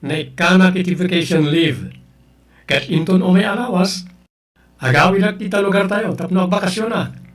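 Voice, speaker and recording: A man's voice: sits high, normal speed, distant mic, natural voice. A man acting a dutiful adult son talking to his parents in a radio drama. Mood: cheerful, eager.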